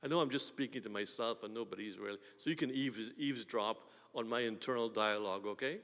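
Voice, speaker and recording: A man with a Guyanese accent preaching a sermon as pastor, swinging between quiet asides and loud emphatic bursts. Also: lowish voice, fast talker. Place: church sanctuary. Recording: long hall reverb.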